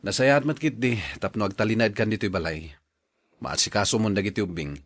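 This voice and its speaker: A man acting a mild, soft-hearted working husband in a radio drama, placating and easygoing. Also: on the low side, brisk.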